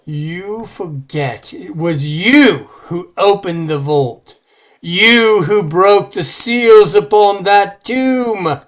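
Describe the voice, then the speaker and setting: A man's voice: high-pitched, expressive, drawn-out words, heavily acted. An actor playing a grave, fearful clergyman who warns of evil in solemn, melodramatic old-time radio horror style. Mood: pleading.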